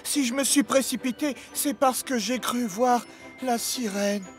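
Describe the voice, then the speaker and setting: A man's voice: very high-pitched, measured pace, faint music behind. An actor, a man, playing a young, earnest and naive talking boat in a children's cartoon dub. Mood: apologetic.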